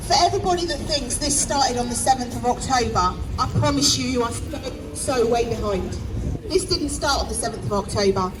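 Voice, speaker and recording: A woman's voice: a little high, fairly quick, a crowd in the background. A woman giving an emotional, earnest speech at an outdoor rally, repeating words for emphasis. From England. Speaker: protest speaker. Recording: distant mic, loud street noise.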